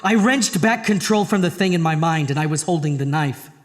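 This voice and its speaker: A man's voice: on the high side, lively intonation, brisk, lightly acted. A man playing a tormented, terrified husband in a horror audio drama, dramatic and intense. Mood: grim narration. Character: terrified, tormented husband narrating his own horror tale. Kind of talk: horror audio drama, first-person narration and dialogue. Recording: long reverb, music underneath.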